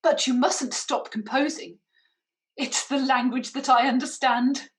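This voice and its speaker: Woman playing a high, adoring young English lady. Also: unhurried. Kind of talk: radio play acting. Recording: echoey room.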